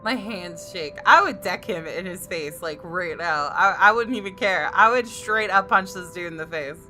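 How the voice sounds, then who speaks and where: Bright-voiced woman narrating a game. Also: lowish voice, moderate pace, soft background music. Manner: expressive, reactive. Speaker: gaming YouTuber. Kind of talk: reading visual novel narration aloud with reactions.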